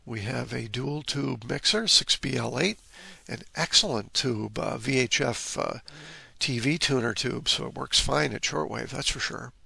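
A man's voice: medium pitch, animated, a little slow, vocal fry, sharp tone, husky. A man presenting an informal, instructive technical walkthrough, explaining electronics step by step. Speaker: presenter of an electronics repair video.

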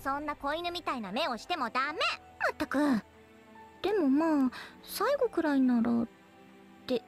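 High-pitched, teasing anime girl archer. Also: lively intonation, moderate pace, soft background music. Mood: coy, teasing. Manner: coy, teasing, theatrical. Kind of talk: Japanese anime game voice acting.

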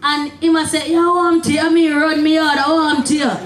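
A woman in her thirties with a Jamaican accent doing loud, emphatic stand-up comedy into a handheld stage microphone. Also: high-pitched, flat delivery, moderate pace, recorded from a distance. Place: stage at night. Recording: echoey stage PA from afar, noisy crowd.

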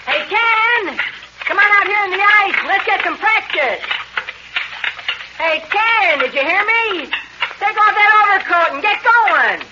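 A man's voice: extremely high pitch, animated, unhurried. A male actor playing an eager, boisterous American boy in a 1940s radio serial, exclamatory and slangy. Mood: excited calling. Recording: muffled noisy 1940s radio broadcast.

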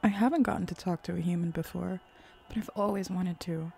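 Flirty female hellhound played by a woman for ASMR roleplay: eager, teasing, mellow, gravelly fry. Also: lowish voice, fairly quick, soft background music. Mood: curious, flirty. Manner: teasing, sultry, with breathy whispers. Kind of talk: roleplay ASMR audio drama.

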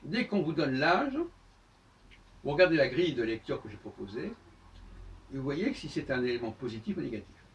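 An older man giving a solo talk to camera in a book-lined room, explaining step by step with many pauses and restarts. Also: medium pitch, sing-song, recorded from a distance. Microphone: far camera on a tripod.

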